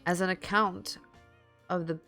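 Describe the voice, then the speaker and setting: A woman streamer reading a story aloud in a dramatic, halting way, slipping into casual asides. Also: lowish voice, steady tone, sharp tone, faint music behind. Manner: halting, repeats and corrects stumbled words.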